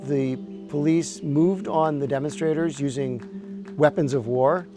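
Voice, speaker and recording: A man, a lawyer, speaking firmly and deliberately in a documentary interview. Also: a little high, music in the background. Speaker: lawyer on the case.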